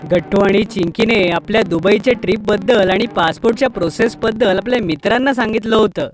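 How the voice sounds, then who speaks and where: A woman's voice: medium pitch, a little fast. A woman reading a scripted children's story as its narrator. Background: music.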